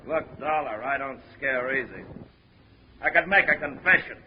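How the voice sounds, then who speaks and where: A man's voice: mid-range voice, expressive, normal speed, close to an everyday voice. A man acting a stubborn, menacing crook in a 1950s radio drama, hard and defiant. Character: menacing, stubborn male crook cornered by an investigator. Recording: muffled, noisy 1950s radio recording.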